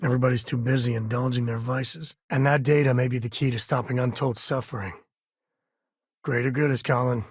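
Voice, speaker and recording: A man acts out an easygoing spacefaring cowboy for a video game. His middle-pitched voice is heavily husky, with folksy turns of phrase and dry sarcasm. He comes across as a wry, devoted father, recorded dry without room sound. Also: fairly even intonation, a little fast, no room sound, natural voice. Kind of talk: video game character dialogue.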